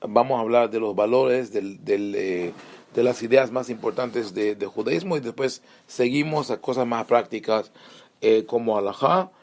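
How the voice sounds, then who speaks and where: A man's voice: medium pitch, normal speed, darkish tone. A man from Israel with an Israeli accent, a rabbi teaching an online lesson with many fillers and restarts. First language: Hebrew.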